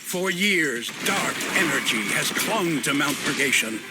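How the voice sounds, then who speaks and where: Grandiose high-voiced epic narrator. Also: male voice, animated. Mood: solemn, dramatic. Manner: grave, drawn-out, grandiose. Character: solemn male narrator of an epic tiger warrior film. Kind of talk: dramatic scripted narration in a video game. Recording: loud music behind the voice.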